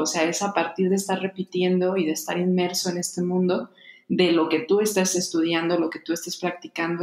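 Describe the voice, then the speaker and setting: A woman in her thirties hosting a conversational podcast interview, talking reflectively in long, flowing explanations. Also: lowish voice. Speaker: podcast host. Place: small home room, indoors. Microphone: large desktop microphone up close, headphones. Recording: roomy, echoey home recording.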